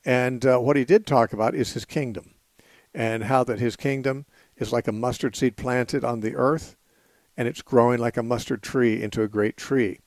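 Guy teaching bible stuff on call-in radio, steady, lots of uhs, dry close mic. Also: mid-range voice, moderate pace, no room sound. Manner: earnest, steady teaching with frequent "uh" and restarts.

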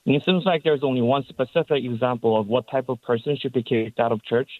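A man with a Korean accent asks his question slowly and politely over a thin, tinny phone line. His voice is mid-pitched, and the sound is dry, with no room echo. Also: fairly even intonation. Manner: careful, polite, reads verses aloud. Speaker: caller.

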